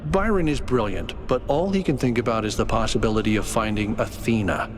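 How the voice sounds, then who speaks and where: A man playing a cautious, concerned colleague in a video game, speaking in an earnest, measured and hesitant way. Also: lowish voice, lightly acted. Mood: worried, earnest. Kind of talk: naturalistic video game voice acting. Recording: music behind the voice. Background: game music.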